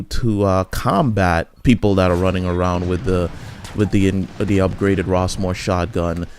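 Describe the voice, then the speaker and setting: A man's voice: on the low side, darkish tone, a little hiss or hum. A man giving casual, rambling commentary over his own gameplay, often restarting his sentences. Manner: rambling, frequent restarts and fillers.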